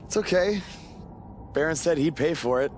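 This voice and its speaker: An actor playing an easygoing wrestler in casual video game cutscene dialogue. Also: male voice, a little high, animated, moderate pace, a little mellow, slight background noise, close to an everyday voice. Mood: relaxed.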